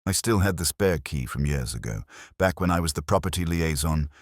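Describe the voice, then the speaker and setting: A man plays a secretive, resigned man in his forties from a mystery story. He talks in a guarded, tight manner with a very deep voice and expressive rises and falls. The sound is close and dry. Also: brisk, no room sound. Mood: tight, resolute.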